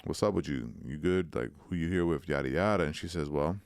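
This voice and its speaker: A podcast co-host spins a slangy story in a very low voice, playing every part himself. His tone is smooth and resonant. The recording is dry and close. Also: fairly quick, dry recording. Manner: animated storyteller, slangy, acts out quotes. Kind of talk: casual storytelling chat.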